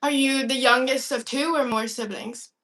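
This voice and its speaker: A young woman from China hosts a livestream with a high-pitched voice. She chats casually over a video call, putting short, conversational questions to her guest. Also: fairly even intonation, normal speed, a bit bright, a little hiss or hum. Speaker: livestream host. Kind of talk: casual interview chat.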